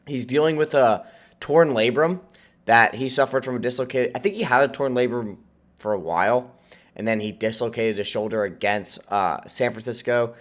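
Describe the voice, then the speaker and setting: A man's voice: medium pitch, normal speed. An American man with a nasal, congested voice, sick as he hosts, giving casual, emphatic fantasy football advice to viewers. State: sick with a cold. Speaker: host of a fantasy football channel.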